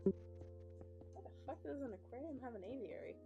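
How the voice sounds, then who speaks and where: Woman host, playful banter, lively swooping pitch, casual gaming call. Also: fairly quick, lo-fi sound, soft background music. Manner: playful, swears, jokes. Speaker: channel host. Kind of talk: casual chat over voice call.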